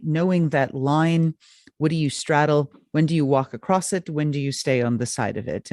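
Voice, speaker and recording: A woman's voice: sits low, darkish tone, no echo, clean studio sound. A woman hosting a podcast who asks long, enthusiastic questions. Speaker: podcast host. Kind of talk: interview questions.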